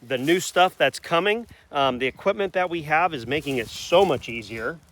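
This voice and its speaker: A man's voice: a little high, expressive, moderate pace, a bit bright. A man, hosting a casual rural vlog and rambling in a folksy way with self-corrections. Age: 40s. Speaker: channel host. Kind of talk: casual outdoor vlog chat.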